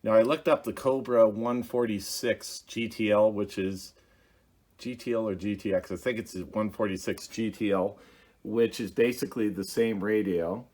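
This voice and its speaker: A man giving a casual hands-on demonstration as host of a hobby video, talking in a halting, plainspoken, methodical way. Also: fairly low pitch, steady tone, darkish tone.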